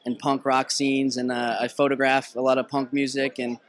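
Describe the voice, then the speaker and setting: Young man from Boston, director, casual and keen, level pitch, dry. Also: completely flat delivery, normal speed, no room sound. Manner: enthusiastic, casual. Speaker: writer-director. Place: film festival red carpet, indoors. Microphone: handheld interview mic.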